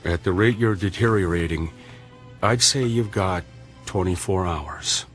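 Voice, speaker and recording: An actor playing a principled, compassionate male doctor with a dry, measured manner in a radio drama. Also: low-pitched, a bit bright, soft background music. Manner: dry, measured, sardonic asides.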